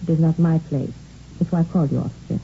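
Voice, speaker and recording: An actress playing an earnest, concerned household cook with a French accent, speaking carefully and politely in a 1950s radio drama. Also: female voice, sits low, steady tone, fast talker. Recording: muffled, noisy vintage radio recording.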